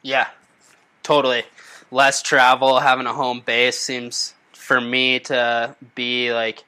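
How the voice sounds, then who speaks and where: A man chatting casually in an interview, speaking in a halting way and correcting himself as he goes. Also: medium pitch, completely flat delivery, sharp tone, smooth.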